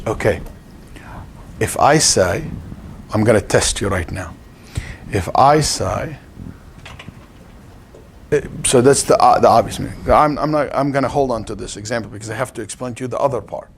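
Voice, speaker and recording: A man's voice: medium pitch, normal speed, long pauses. A man with an Arabic accent teaches an interactive religious lesson as an Islamic lecturer, explaining didactically and checking understanding with questions. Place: live class at an Islamic center. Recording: clearly noisy live room recording.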